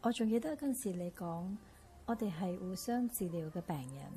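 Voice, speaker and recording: An actress playing a wistful, grieving counselling client in a conversational Cantonese radio drama, with a gentle manner and a Hong Kong accent. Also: female voice, medium pitch, normal speed, a little hiss or hum, close to an everyday voice. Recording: clear music behind the voice.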